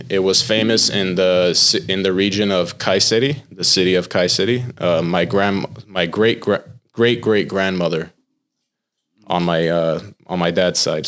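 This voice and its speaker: Young American man with a very low voice, relaxed and explanatory. He talks casually as a guest and fills his speech with "like" and "um.". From United States. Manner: relaxed, explanatory, with filler words and laughs. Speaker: chef and pitmaster, podcast guest. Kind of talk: casual interview talk.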